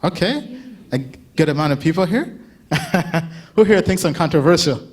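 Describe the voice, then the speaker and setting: A man's voice: a little high, animated, a little slow, breathy edge. A man from Uganda in his thirties giving a casual conference talk, chatting with the audience, asking them questions and laughing often. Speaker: conference speaker.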